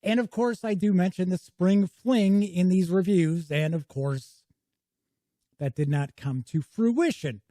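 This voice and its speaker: A man's voice: high voice, measured pace, dark tone, dry recording, clean studio sound. A man hosting his own board game channel, giving a casual, chatty review to camera in a folksy, welcoming way. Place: small home studio.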